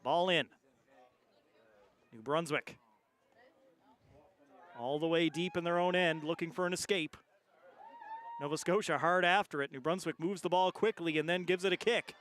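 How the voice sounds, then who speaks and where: A man with a fairly high voice delivers live sports play-by-play. He follows the action as a commentator, describing each move as it happens. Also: normal speed, pauses often, a bit bright.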